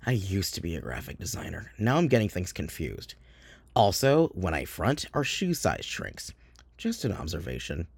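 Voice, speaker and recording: A man plays a drab, earnest corporate employee in sketch comedy. The voice is low and subdued, slightly husky, and delivers business-speak with bland sincerity. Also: big pitch swings, moderate pace, no echo, exaggerated voice. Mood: muddled, earnest. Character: earnest, drab office worker full of corporate jargon.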